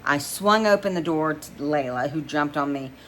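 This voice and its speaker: A woman's voice: sits low, moderate pace, a bit bright, a little hiss or hum. A woman narrating a first-person story as an amateur reader, stumbling now and then and rereading phrases.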